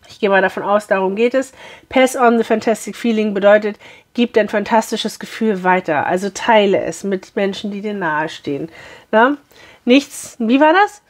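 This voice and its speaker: A woman in her thirties with a hoarse voice, chatting cheerfully to viewers as she unboxes a beauty box in a small room. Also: mid-range voice, normal speed.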